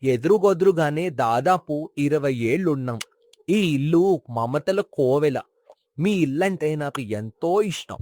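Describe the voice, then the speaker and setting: A man's voice: a little high, lively intonation, measured pace, a little mellow, no room sound. A man playing a sincere, sentimental colleague and neighbour of a late father, earnestly reminiscing in a moral story. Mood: fond, reverent. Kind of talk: dramatized moral story acting.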